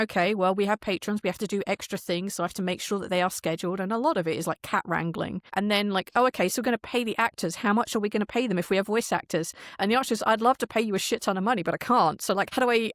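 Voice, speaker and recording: Fast-talking woman, chatty and candid. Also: medium pitch, no echo, studio quality. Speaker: podcast guest, audio drama producer. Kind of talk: casual, candid interview talk.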